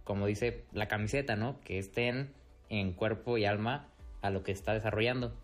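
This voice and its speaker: A man's voice: lowish voice, steady tone, normal speed, faint music behind. A man hosting an interview, polite and formal, agreeing with his guest. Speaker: podcast host.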